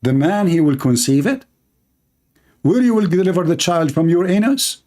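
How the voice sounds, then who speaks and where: A man preaching to his viewers with a dark, mellow voice pitched a little high. He declaims emphatically and repeats his points for force. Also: lively intonation, normal speed. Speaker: online religious debater.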